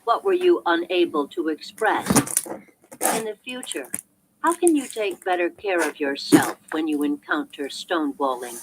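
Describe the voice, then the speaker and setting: A woman reading a self-help audiobook in a measured, clearly articulated and emphatic way. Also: lowish voice, big pitch swings, noisy background. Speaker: audiobook narrator.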